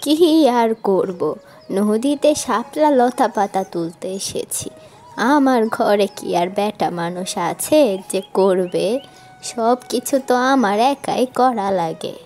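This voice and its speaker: A woman's voice: mid-range voice, lively intonation, takes it slowly, darkish tone. A woman voicing an old, hardworking village aunt in a cartoon, chatty and plaintive. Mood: curious, grumbling. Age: older. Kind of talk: Bengali moral-story cartoon dialogue.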